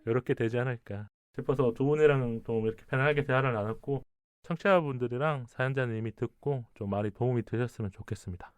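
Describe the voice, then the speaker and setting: A man hosting a casual advice talk show, speaking calmly and thoughtfully, with a regional Korean dialect and pauses in the middle of his sentences. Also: medium pitch, clear, resonant tone. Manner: calm, reflective, pauses mid-sentence. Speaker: podcast host.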